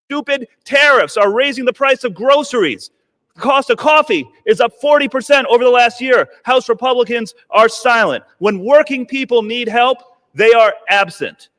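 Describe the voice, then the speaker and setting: A man's voice: extremely high pitch, normal speed, a bit bright. A man, a congressman, giving a sarcastic, indignant floor speech in a legislative chamber. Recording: long reverb of a large chamber.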